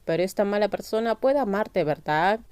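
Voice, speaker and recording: Female reader as confident romantic man, persistent and devoted, smooth voice, dry close sound, story narration. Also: medium pitch, animated, normal speed, darkish tone, no echo, natural voice. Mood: self-assured.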